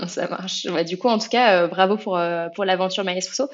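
A woman's voice: fairly low pitch, moderate pace, highs cut off. A woman hosting a casual business interview, friendly and hesitant, with many fillers. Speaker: host, company founder.

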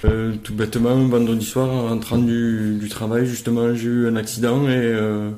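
A man's voice: mid-range voice, level tone, normal speed, mellow. Southern French man, echoey room. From southern France. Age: 40s. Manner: hesitant, many fillers and restarts. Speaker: interview guest, cyclist. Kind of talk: conversational interview.

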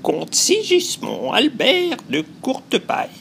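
A woman's voice: high voice, big pitch swings, slow pace, gravelly, fairly bright tone, a little hiss or hum, full character voice. A woman voicing an elderly male count who runs a museum, courtly and pompous, in a radio drama. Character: old male count, fussy museum curator.

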